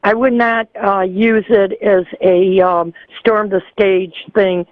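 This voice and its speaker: A man putting on a prim, chatty lady caller who rambles and halts with many ums. The voice sits high and comes through a tinny phone line with no room at all. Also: little pitch movement, slow, no room sound. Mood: rambling. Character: fussy older woman caller, chatty and proper.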